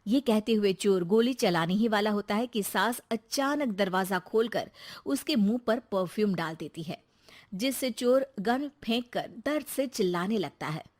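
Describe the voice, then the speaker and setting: A woman narrating a cartoon story in an even, storytelling manner. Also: medium pitch, unhurried.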